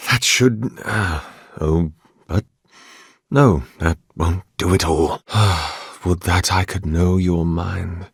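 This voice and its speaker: A devout, scholarly priest from a fantasy video game, weary yet courteous. His voice sits on the low side and moves very slowly, with formal, archaic phrasing and a thoughtful, reverent manner. The sound is close and dry. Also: husky, no echo, exaggerated voice. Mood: reflective.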